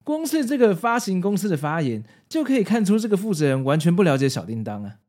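A man's voice: sits high, big pitch swings, quick pace, darkish tone. A man with a Taiwanese Mandarin accent, hosting a podcast with narration and casual commentary. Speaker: podcast host.

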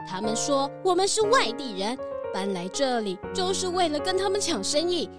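A friendly female goat running a cookie factory, slipping a bleat into her talk, voiced by a woman. Her voice sits a touch high, with children's music playing underneath. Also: sing-song, normal speed. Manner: bleats now and then, proud and friendly. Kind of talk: children's radio drama. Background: background music.

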